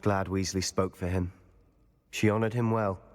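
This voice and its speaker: An actor playing an earnest, troubled teenage schoolboy wizard in a video game drama, speaking with intensity. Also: male voice, deep voice, steady tone, moderate pace, close to an everyday voice. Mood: somber, subdued. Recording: reverberant hall, faint music.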